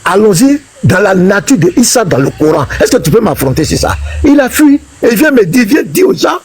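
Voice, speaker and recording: A man's voice: high-pitched, sing-song, fairly quick, a little mellow, breathy edge. A man, a pastor, arguing heatedly in a live online religious debate, insisting on being heard and issuing challenges. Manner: insistent, challenging, talks over others.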